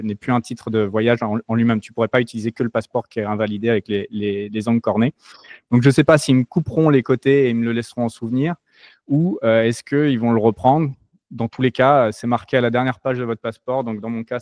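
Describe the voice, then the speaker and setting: A man's voice: mid-range voice, no echo, studio quality. A French-born man as an interview guest, talking casually with many hesitations and restarts. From France. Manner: relaxed, many fillers and restarts.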